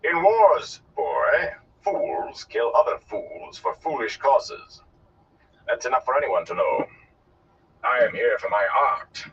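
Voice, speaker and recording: A man voicing a flamboyant, haughty gleeman in an audiobook, with a theatrical, drawn-out and declamatory delivery. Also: big pitch swings, a bit bright, husky, distant mic, highs cut off, full character voice. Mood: grumbling. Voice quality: deep, resonant. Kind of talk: audiobook character voice.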